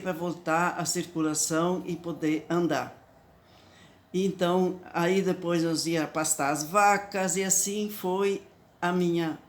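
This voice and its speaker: A woman's voice: fairly low pitch, a little hiss or hum. Elderly woman with Talian-tinged accent. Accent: Italian-Brazilian Talian-influenced Portuguese. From southern Brazil. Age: 80s. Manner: slow, reflective, with frequent restarts. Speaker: storyteller. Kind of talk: personal memoir.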